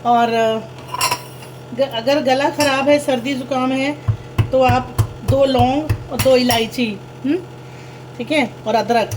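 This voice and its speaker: A woman's voice: sits high, fairly even intonation, slow, long pauses, background noise. A young woman chatting casually to viewers while cooking in her home kitchen, explaining each step as she goes. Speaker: vlogger. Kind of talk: casual cooking vlog chat.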